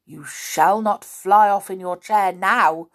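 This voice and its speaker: A woman's voice: mid-range voice, slow pace, natural voice. Woman putting on a smug, quacking male storybook creature. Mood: gloating. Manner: taunting, smug. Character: big quacking bird-like male creature, mocking, gloating. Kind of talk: children's storybook character voice.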